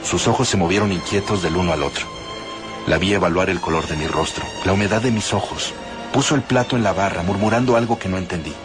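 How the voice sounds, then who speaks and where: Male audiobook narrator, very low voice, dramatic measured delivery over music.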